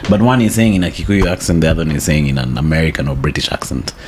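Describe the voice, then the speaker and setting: A man's voice: very deep voice, lively intonation, rapid-fire, a little hiss or hum. A man from Kenya with a Kenyan accent, chatting casually, laughing often and dropping in "you guy". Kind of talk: casual chat.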